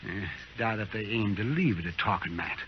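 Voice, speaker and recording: A man's voice: lowish voice, expressive, a little fast, natural voice. Wry, swooping old frontier doc played by a man. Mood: cautionary. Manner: wry, folksy, musing. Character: wry, crusty frontier town doctor, older man, shrewd. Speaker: actor in a western radio drama. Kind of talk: old-time radio acting. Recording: muffled, noisy old radio recording.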